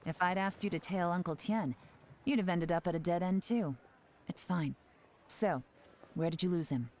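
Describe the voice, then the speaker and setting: Low-voiced, cool commanding woman spymaster acted. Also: darkish tone, close to an everyday voice. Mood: calm, reassuring. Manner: composed, authoritative, deliberate. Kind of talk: video game voice acting.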